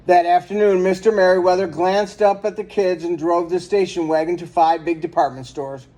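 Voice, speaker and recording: A man's voice: high-pitched, flat delivery, normal speed, warm tone, rough recording. A man reading a children's picture book aloud as a storyteller.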